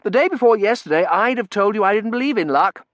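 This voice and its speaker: A man voicing a cheerful, chattering young gentleman who talks in an eager, breathless patter and quotes verse theatrically. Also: high-pitched, expressive, quick pace, no room sound, voice pushed up. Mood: chattering eagerly. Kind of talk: audiobook character voice.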